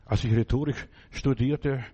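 A man's voice: medium pitch, fairly even intonation, unhurried, mellow, breathy edge, dull audio. A man preaching a fervent, emphatic sermon in German, which is not his first language, repeating phrases for emphasis.

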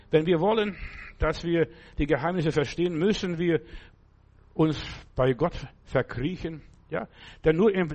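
A man of middle years delivers a sermon in a slightly higher-than-usual voice. He speaks in short bursts, jumping in pitch and loudness, and keeps asking his listeners whether they follow. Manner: speaks in short phrases with sudden pitch and volume jumps. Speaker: preacher.

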